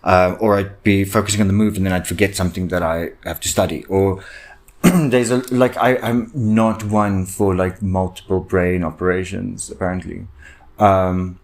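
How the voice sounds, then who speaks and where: A young man with a very deep voice, a native Afrikaans speaker, talks through his worries on a solo podcast. He rambles and halts, restarting sentences and filling gaps with ums, sounding anxious and inward-looking. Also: steady tone, normal speed, darkish tone. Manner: anxious, self-reflective. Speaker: podcast host. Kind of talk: solo personal podcast rant.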